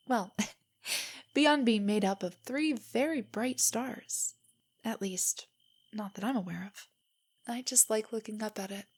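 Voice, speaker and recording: A young woman playing a nerdy, nervous, tender girlfriend in an intimate stargazing roleplay audio, speaking softly and often near a whisper as she gently rambles. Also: medium pitch, slightly rough, natural voice. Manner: rambling, gently explaining, hesitant. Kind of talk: intimate roleplay audio, scripted to sound spontaneous.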